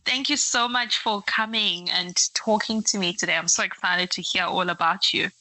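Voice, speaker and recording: Bright-voiced young woman podcast host. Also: mid-range voice, normal speed, no room sound, poor audio. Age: 20s. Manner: chatty, with many "like" fillers. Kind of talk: scripted intro, then casual interview chat.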